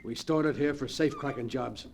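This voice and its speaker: A man's voice: mid-range voice, a little fast, vocal fry, natural voice. A man playing a hard-boiled, desperate gangster on the edge of madness in 1940s radio melodrama, on an old noisy recording. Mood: curt, menacing.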